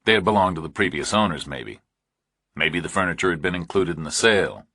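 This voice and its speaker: Very low-voiced male thriller narrator, slightly husky. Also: brisk, bright, forceful tone, no room sound, studio quality. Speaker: audiobook narrator.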